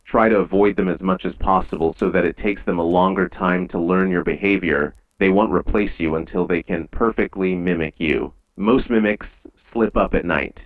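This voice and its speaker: A man playing a grave, measured emergency announcer in an eerie analog horror broadcast, with dull, lo-fi old radio sound. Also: sits low, close to an everyday voice. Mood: calm, foreboding. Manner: measured, solemn, ominous.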